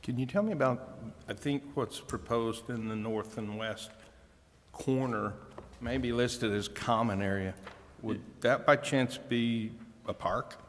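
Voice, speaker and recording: A man's voice: mid-range voice, takes it slowly. A man speaking and asking questions as a council member in a meeting. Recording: reverberant council chamber, faint noise.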